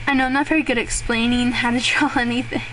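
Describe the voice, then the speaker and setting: A young woman hosting a casual drawing tutorial, rambling and self-deprecating, with fillers and a voice that turns raspy at times. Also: on the high side, fairly even intonation, fast, fairly bright tone. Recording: clearly noisy home recording.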